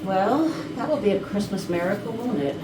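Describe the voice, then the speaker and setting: Woman playing wary loyal assistant, lively highish voice, staged reading, distant mic, noisy room. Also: normal speed, roomy sound, close to an everyday voice. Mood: dry, pointed. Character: dutiful, wary female assistant to a company boss. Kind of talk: staged reading of a murder mystery comedy. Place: rehearsal room.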